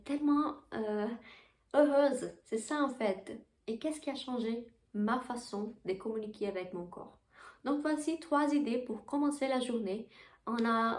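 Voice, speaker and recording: Portuguese-accented woman in an echoey bedroom. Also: fairly high pitch. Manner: playful, gentle, with small slips and restarts. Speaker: spiritual vlogger. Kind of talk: casual spiritual vlog. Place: her bedroom.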